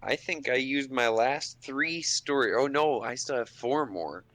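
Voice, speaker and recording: A man's voice: medium pitch, measured pace, fairly bright tone. A man chatting casually as a player in an improvised tabletop role-playing game, musing aloud and breaking off mid-thought. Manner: muses aloud, breaks off and restarts.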